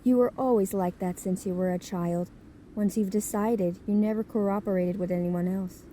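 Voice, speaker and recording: A woman's voice: mid-range voice, steady tone, moderate pace, mellow, slight background noise, lightly acted. A woman voicing a firm, protective older sister from a tribe, insistent and scolding, in a manga dub. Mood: firm, reproachful.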